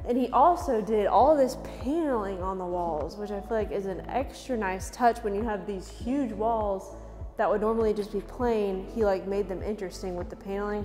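A woman's voice: medium pitch, mellow. Young woman, chatty, laughs a lot, echoey empty house, camera mic. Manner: chatty and upbeat, laughs often. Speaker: vlogger giving a house tour. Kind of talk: casual house tour narration. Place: large empty house, indoors. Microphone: camera mic from a few steps away. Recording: roomy reverb in empty rooms, music underneath.

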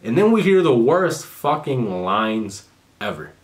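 A man's voice: medium pitch, sing-song, measured pace. A young man recording a casual commentary video in a small bedroom. He is sarcastic and swears a lot as he mocks what he is reviewing. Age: young adult. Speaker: commentary youtuber.

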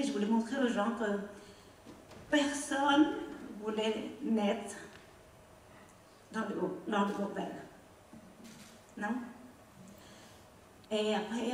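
A woman's voice: mid-range voice, a little mellow, distant mic, a little hiss or hum. Cambodian woman speaking in an echoey theatre. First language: Khmer. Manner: halting, emotional, non-native phrasing, asks the audience "non?". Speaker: speaker on stage. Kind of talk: personal testimony to an audience. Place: dark theatre stage. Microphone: handheld mic.

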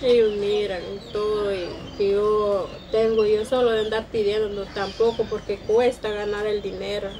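A middle-aged woman with a Guatemalan Spanish accent, speaking slowly and earnestly in an interview on her patio. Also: medium pitch, flat delivery, noisy background. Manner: slow, halting and earnest, repeats "va". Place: outdoor concrete-block patio of a home. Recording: outdoor sound with birds behind.

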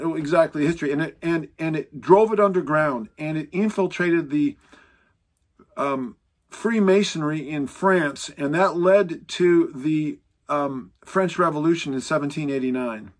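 A man in his sixties teaching to camera from a book-lined home study, emphatic and animated, stressing points by raising his voice. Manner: emphatic, pitch rising when stressing lists; animated, gesturing with both hands. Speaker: Bible teacher. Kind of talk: lecture-style teaching to camera. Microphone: seated close to a fixed camera.

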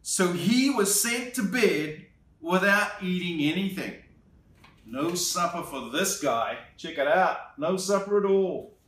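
A man in his thirties reading a picture book aloud, slow and expressive, with playful asides, seated in an armchair indoors. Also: high voice. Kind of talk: children's storytime read-aloud. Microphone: camera on a tripod a few feet away. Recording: roomy, slightly distant sound.

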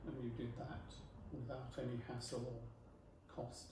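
A man in his fifties, a homeowner giving measured, polite feedback in a casual interview at his dining table. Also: medium pitch, little pitch movement. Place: home dining room, indoors. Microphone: far camera. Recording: echoey room, far from mic, noisy.

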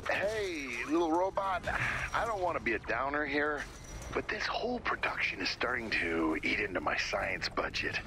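A man's voice: mid-range voice, sing-song, normal speed, noisy background. A man playing a grumbling, blunt, budget-minded scientist in a video game. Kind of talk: video game character voice acting.

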